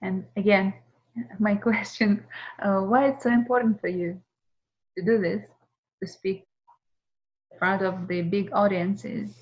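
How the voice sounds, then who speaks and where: A woman's voice: mid-range voice, steady tone, a little slow, long pauses. Polite woman interviewer, slightly dull sound. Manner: polite, encouraging, tentative phrasing. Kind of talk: interview questions.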